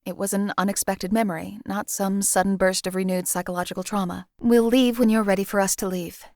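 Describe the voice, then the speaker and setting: A woman's voice: mid-range voice, fairly even intonation, brisk, a little mellow, dry recording, close to an everyday voice. A woman voicing a cold, sardonic female assassin companion and former slave, who speaks in a dry, cutting, precise and condescending way. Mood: composed. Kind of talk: game companion voice lines.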